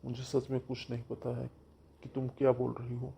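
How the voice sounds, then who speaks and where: A man voicing an earnest, loyal young groom who speaks softly and reassuringly in a husky voice. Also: medium pitch, completely flat delivery, normal speed, a little hiss or hum, lightly acted. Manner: soft, reassuring.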